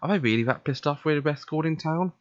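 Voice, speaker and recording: A man's voice: fairly high pitch, quick pace, dry recording. Man reading aloud on a dull, dry mic. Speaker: let's play host. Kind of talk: reading visual novel narration aloud, casual commentary.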